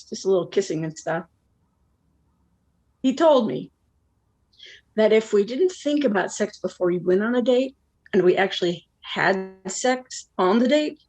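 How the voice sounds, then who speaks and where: Jilted woman for one-act drama, played by an actress: slow, confessional, world-weary, deadpan with a bitter edge. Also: mid-range voice, low-quality recording. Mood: dreamy, amused. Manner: candid, rueful, deadpan asides.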